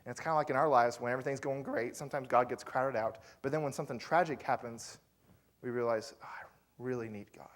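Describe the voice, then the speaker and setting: A man's voice: medium pitch, fast talker. A man preaching a sermon as pastor, telling the story in a loose, conversational way with fillers and asides to the congregation.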